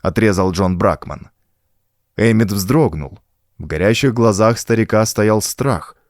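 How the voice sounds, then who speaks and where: A man narrating a horror audiobook in an expressive, suspenseful, dramatic manner. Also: fairly low pitch, a little slow, dry recording, studio quality. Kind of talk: dramatic scripted narration of a pulp horror story.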